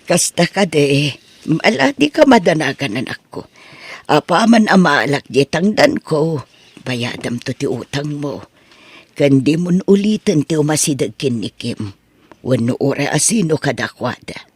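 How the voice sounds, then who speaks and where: A man acting as a gentle, worried, apologetic mother in an Ilocano audio drama, with an Ilocano accent. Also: on the high side, expressive, a little slow, slightly rough, no echo, exaggerated voice. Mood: apologetic, concerned. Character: worried, gentle, poor mother who takes in laundry.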